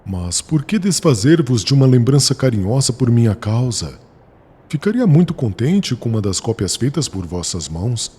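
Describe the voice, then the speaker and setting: Young deferential ex-scholar voiced by a man, husky, lively inflection. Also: medium pitch, moderate pace. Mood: humble. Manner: earnest, deferential.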